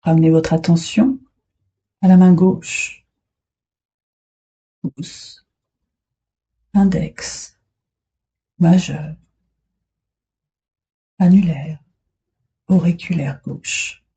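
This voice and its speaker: Casting: female meditation guide, very dark, soft-edged husky tone, soothing delivery with long pauses, slightly muffled recording. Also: fairly low pitch, steady tone. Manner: soothing, unhurried, short phrases with long pauses. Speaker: yoga nidra instructor. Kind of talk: guided meditation, relaxation instructions.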